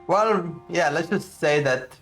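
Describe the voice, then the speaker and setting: A woman's voice: sits low, slow pace, loud music behind the voice. A woman doing casual, offhand gameplay commentary as she reacts to the game. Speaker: game streamer.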